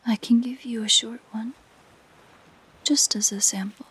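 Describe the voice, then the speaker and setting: A woman voicing a possessive, curious siren in an intimate audio roleplay, speaking in soft, gentle, musing whispers. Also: a little high, fairly even intonation, full character voice, low-quality recording. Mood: musing delight. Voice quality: soft, breathy, mostly whispered. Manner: slow, gentle, musing delivery. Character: possessive, curious female siren, sweetly entranced by humans.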